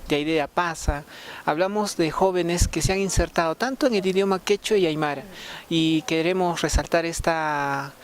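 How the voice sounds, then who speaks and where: A man's voice: on the high side, moderate pace. A man giving a formal interview statement.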